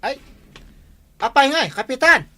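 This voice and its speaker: An actor playing an excitable, obliging tricycle driver in an Ilocano village comedy drama. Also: male voice, very high-pitched, unhurried, heavily acted. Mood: surprised, eager.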